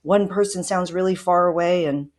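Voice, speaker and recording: A woman's voice: on the low side, normal speed, darkish tone, dry recording, clean studio sound. A woman hosting a conversational podcast interview in an informal, emphatic way. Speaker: podcast host. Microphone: microphone plugged into a laptop.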